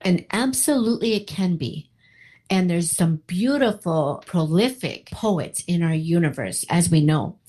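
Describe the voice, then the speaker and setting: A woman giving a reflective interview, speaking earnestly and deliberately, often repeating her phrasing for weight. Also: lowish voice, lively intonation, warm tone. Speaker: poetry group co-founder being interviewed.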